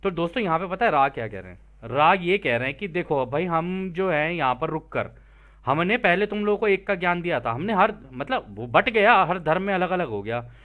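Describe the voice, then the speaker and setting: A man's voice: on the high side, expressive, normal speed, a bit bright. A man with an Indian accent giving informal spiritual commentary, reading passages aloud and explaining them conversationally to listeners he treats as friends, often rephrasing his points. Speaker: presenter explaining a translated spiritual text.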